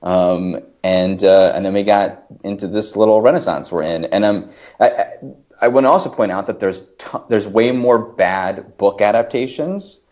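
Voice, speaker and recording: A man's voice: on the low side, normal speed, a little mellow. A man talking as a podcast panelist, thoughtfully working through and qualifying his points in casual discussion. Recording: slightly dull, lo-fi podcast audio.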